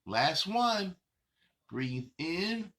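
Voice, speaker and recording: A man with a high, creaky voice leads children through exercises as their host. He takes his time, stretching out each instruction in an encouraging way. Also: sing-song, very slow, pushed and bright. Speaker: children's show host leading warm-up exercises. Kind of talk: guided movement session for children.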